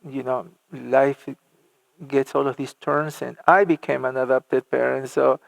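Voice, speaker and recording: A middle-aged man from Argentina, a church music minister telling his life story in an interview, halting with many fillers and restarts. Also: medium pitch, flat delivery, gravelly, no room sound, low-quality recording.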